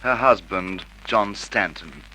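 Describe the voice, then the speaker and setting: Precise male witness, low-pitched, earnest, faintly noisy old radio crime recording. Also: expressive, takes it slowly, sharp tone, full character voice. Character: male film actor, accusing murder witness. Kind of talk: Golden Age radio drama acting.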